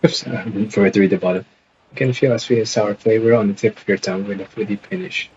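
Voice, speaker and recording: Male live-playthrough streamer, lowish voice, hesitant casual read-aloud delivery, muffled lo-fi stream mic. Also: quick pace, a little mellow, slight background noise. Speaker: game streamer. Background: game music.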